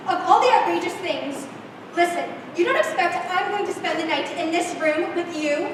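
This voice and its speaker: A very high, echo-soaked voice: a woman playing an indignant young woman handcuffed to a fugitive in a radio play. She is prim, stubborn and protesting, heard over clear noise in a hall. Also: normal speed. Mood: alarmed, indignant. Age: teens. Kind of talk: live stage radio play acting. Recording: echoey noisy hall from far off.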